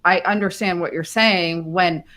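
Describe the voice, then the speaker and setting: A woman's voice: fairly low pitch, fairly even intonation, measured pace, sharp tone. A woman hosting a conversational interview, putting questions to a guest. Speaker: podcast host, interviewer.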